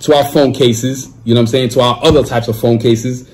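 A man's voice: mid-range voice, fairly even intonation, fairly quick. A man in his thirties hosting his own podcast, talking casually at a desk in a small room, reading sponsor plugs in a slangy, laid-back way. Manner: laid-back slangy talk with lots of "you know what I'm saying". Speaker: podcast host.

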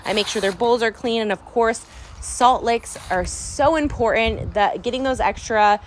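A woman's voice: a little high, normal speed, slight background noise. A young woman from San Diego, chatting enthusiastically in a casual vlog about caring for her horses at an outdoor barn. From San Diego, California. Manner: chatty, enthusiastic, repeats "super important". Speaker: horse owner vlogger.

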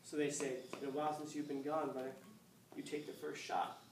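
A man in his fifties, a high school teacher lecturing a class, acting out scenes with playful voices. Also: level tone, brisk, slight background noise. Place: classroom, indoors. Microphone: far camera. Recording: echoey classroom from far off.

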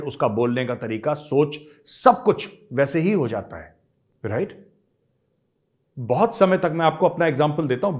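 Guy commenting on a show, emphatic and repetitive, slightly high voice, talks right at the viewers. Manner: emphatic, repeats his points, addresses viewers directly. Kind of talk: explanatory commentary.

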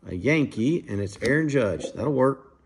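A man casually commenting to viewers while opening trading card packs at a dining table at home, in a relaxed, chatty way. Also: medium pitch, a little slow, gravelly, a little hiss or hum. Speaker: card breaker, channel host.

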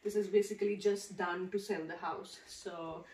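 A woman's voice: fairly low pitch, steady tone, moderate pace, a little mellow, distant mic. Chatty woman in echoey empty house. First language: Punjabi. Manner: chatty, walks while talking, frequent uh fillers. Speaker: vlogger. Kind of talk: casual house tour. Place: empty house, indoors, bare rooms. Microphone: handheld camera at a distance. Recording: echoey empty rooms, voice from off mic.

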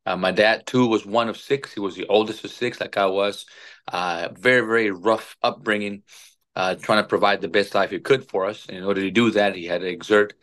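Earnest man from Venezuela, lowish voice. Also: fairly even intonation, brisk, a bit bright. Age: 40s. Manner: polite, earnest, many fillers. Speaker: guest, retired Marine officer. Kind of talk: casual interview. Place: indoor room. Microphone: phone at arm's length.

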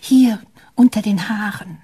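A woman playing a distressed young mother in a radio play, with a husky voice. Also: mid-range voice, a little slow, dark tone. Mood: anxious.

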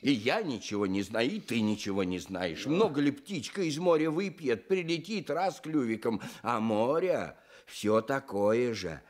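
An actor playing a gentle, humble, devout village man in his sixties with folksy rustic speech, in a radio play. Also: mid-range voice, expressive, unhurried, few pauses, lightly acted. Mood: humble, philosophical. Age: older. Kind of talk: Soviet radio play acting.

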